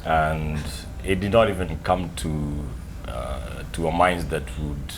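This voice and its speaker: Quiet, deep-voiced father. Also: slow pace, crowd noise. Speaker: interview guest, father. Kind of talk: casual interview conversation.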